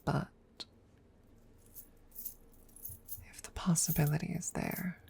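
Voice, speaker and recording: Casting: a woman as a guarded, haughty female dragon for ASMR roleplay; gravelly low register, formal deliberate phrasing, breathy and near-whispered. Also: fairly even intonation, dark tone. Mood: hushed, curious. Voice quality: breathy close murmur, often dropping to a whisper. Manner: formal, deliberate, hesitant, with long pauses. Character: proud, guarded female dragon secretly fond of her rival. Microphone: close microphone.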